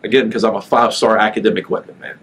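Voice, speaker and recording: A nineteen-year-old man talking as a guest on a podcast, relaxed, often pausing with fillers and restarting his sentences. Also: on the low side, a little hiss or hum. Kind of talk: casual interview chat.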